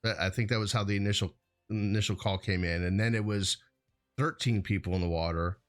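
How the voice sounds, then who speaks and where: A man's voice: lowish voice, steady tone, brisk, faint music behind. An American man hosting a show, talking in a casual, rambling, conversational way peppered with "you know". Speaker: host of a hazmat show.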